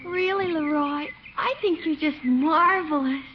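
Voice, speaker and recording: A very high-voiced, lovestruck little girl. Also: a little slow, over music. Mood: adoring. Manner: cooing, giggly, breathless adoration. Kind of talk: 1950s radio sitcom acting. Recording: noisy, muffled old radio recording, audience.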